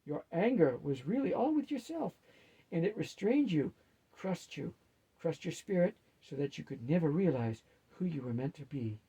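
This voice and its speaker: A man's voice: fairly high pitch, very animated, warm tone, breathy edge, exaggerated voice, low-quality recording. A man voicing a wise, loving old grandmother who speaks firmly and emphatically. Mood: stern. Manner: emphatic, firm, drawn-out delivery.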